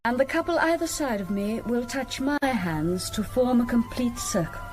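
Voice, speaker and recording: An actress playing a composed woman spirit medium in a British radio drama, giving formal, measured instructions. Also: fairly high pitch, animated, music in the background, natural voice. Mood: calm, commanding.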